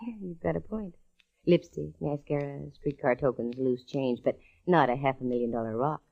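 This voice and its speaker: A woman's voice: a bass voice, normal speed, gravelly. An American actress playing a breezy, tough female private eye with slangy, wry delivery in old-time radio detective style. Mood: wry, curious. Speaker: radio actress, lead and first-person narrator. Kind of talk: 1940s-50s American radio detective acting. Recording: dull old radio recording.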